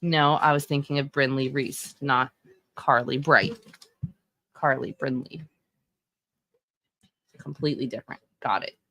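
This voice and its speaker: A woman's voice: rumbling low, steady tone, a little slow, long pauses, bright, forceful tone, no echo, rough recording. A woman in her thirties with a Texan accent, giving chatty, opinionated live-stream commentary and often correcting herself. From Texas. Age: 30s. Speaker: streamer reviewing wrestling fashion.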